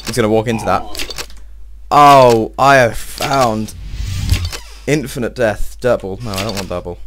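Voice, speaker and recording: A young man giving casual, excitable let's-play commentary, swearing and talking to himself, his voice a little strained. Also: on the low side, measured pace, background noise. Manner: excitable, swears often, talks to himself while playing. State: slightly strained voice. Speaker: gameplay video host.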